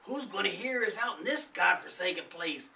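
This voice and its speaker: Storybook role, man performing: hesitant, spooked boy, high lively pitch, roomy recording. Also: normal speed, gravelly, far from the mic, a little hiss or hum, exaggerated voice. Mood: skeptical. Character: nervous, reluctant boy, wary of haunted house.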